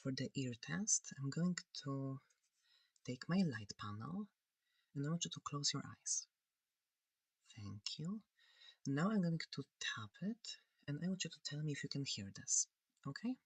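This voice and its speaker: A soft-spoken ASMR performer of unclear sex playing a curious, gentle alien examiner, halting and clinical yet reassuring, breathy and often whispering close to the microphone. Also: mid-range voice, big pitch swings, dry recording. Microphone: close, dry microphone.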